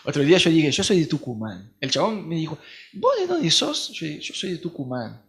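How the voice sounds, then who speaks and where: A man from Brazil with a Brazilian accent and Portuguese as his first language, hosting a casual podcast chat in a teasing, joking way and nodding along with quick short replies. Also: a little high, sing-song, a bit bright. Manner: joking, teasing, eager back-channel replies. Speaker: podcast host, missionary.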